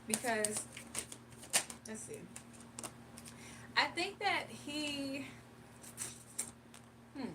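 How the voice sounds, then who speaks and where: A young woman with a fairly high voice chats loosely with her live viewers and laughs easily. She sits a little away from the mic, and faint room noise runs under her voice. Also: lively intonation, drawn-out words, long pauses, far from the mic. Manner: chatty, laughs often, reads chat aloud. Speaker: livestream tarot reader. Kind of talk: casual live chat and tarot reading.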